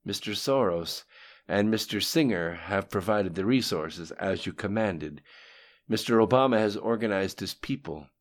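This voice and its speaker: A man voicing a cold, composed conspirator in an audiobook, deferential yet unafraid as he addresses his dark master. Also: on the low side, lively intonation, measured pace, voice pushed up. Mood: businesslike. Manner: cool, deferential yet unafraid, matter-of-fact. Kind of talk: audiobook dialogue acting.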